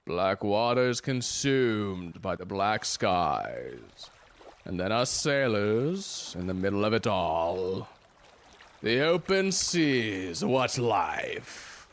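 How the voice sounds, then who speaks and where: A man's voice: on the low side, sing-song, drawn-out words, full character voice. An actor playing a grandiose seaman in an audio drama, over some background noise. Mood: grand, dramatic. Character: grandiose sailor hailing the open seas. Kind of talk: scripted audio drama acting.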